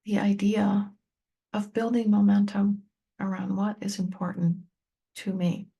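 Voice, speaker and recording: A woman leading a guided meditation, speaking gently and unhurriedly to the listener in short, spaced-out phrases. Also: mid-range voice, little pitch movement, a little slow, gravelly, husky. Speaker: meditation teacher and tapping coach.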